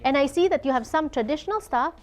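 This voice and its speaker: A woman's voice: high-pitched, lively intonation, fast. Upbeat Filipino woman host. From Philippines. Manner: upbeat, switches between English and Tagalog. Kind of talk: interview.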